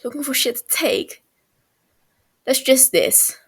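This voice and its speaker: A woman voicing an angry, defensive teenage boy who snaps, swears and shouts, in a story read aloud. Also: sits high, expressive, takes it slowly, breathy edge, heavily acted.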